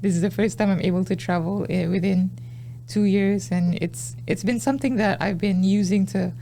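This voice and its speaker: A man, speaking as a podcast guest. His voice is warm and mellow with a gravelly edge, and he talks easily, often stalling on fillers and restarting. Faint hiss runs under it. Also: high voice, fairly even intonation, normal speed. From Singapore. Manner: easygoing, hesitant, many "uh"s and restarts. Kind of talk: casual interview chat.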